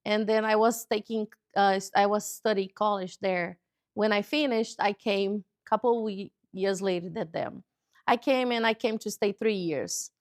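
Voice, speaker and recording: A woman in her forties from Brazil, whose first language is Portuguese, speaking English with a Brazilian accent in a TV interview and pausing often to find words. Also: medium pitch, no echo, studio quality. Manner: halting, searching for words, cheerful. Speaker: guest author. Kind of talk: casual interview chat. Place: local TV studio.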